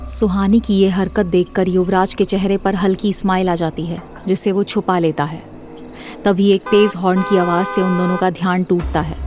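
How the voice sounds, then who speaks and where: A woman's voice: lowish voice, darkish tone, slight background noise. A woman reading scripted narration for an audio drama series.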